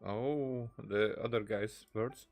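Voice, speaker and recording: A woman's voice: extremely low pitch, takes it slowly, creaky, darkish tone, faint music behind. A woman giving casual, dry and terse commentary as she plays a game. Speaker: game streamer. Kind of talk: casual commentary over gameplay.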